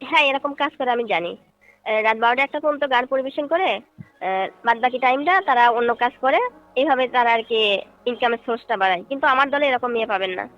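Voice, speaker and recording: A woman's voice: high-pitched, fast talker, a bit bright. A woman playing a courteous folk singer and troupe leader in a staged phone call, speaking in a polite, questioning, explanatory way. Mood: firm, refusing. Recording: dull phone-call audio with music behind.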